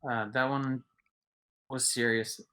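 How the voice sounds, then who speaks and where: A man's voice: mid-range voice, flat delivery, slow pace, sharp tone, clear, resonant tone. A man co-hosting a podcast in casual chat, rambling with lots of filler words. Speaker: podcast co-host.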